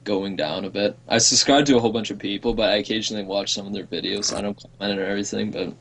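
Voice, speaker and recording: A young man chats loosely over a strategy game stream, rambling and swearing now and then. His voice sits low with a gravelly, fry-heavy edge, and a faint hiss of noise hangs under it. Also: steady tone, fast talker, fairly bright tone, poor audio. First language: English. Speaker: let's play streamer. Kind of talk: casual gaming chat.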